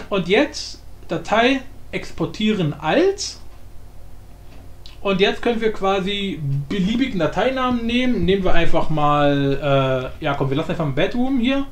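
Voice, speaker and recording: A man hosting a casual software tutorial in a colloquial, mildly crude, joking way. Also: high-pitched, slight background noise. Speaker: tutorial host.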